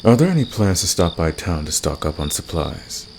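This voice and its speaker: A man playing a confident, flirtatious and secretly protective fantasy villain in an ASMR romance roleplay, gravelly and intimate, with a teasing, smug manner. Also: very animated, moderate pace, darkish tone. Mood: smug, seductive. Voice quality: very deep, gravelly. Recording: clear background noise, night cricket ambience.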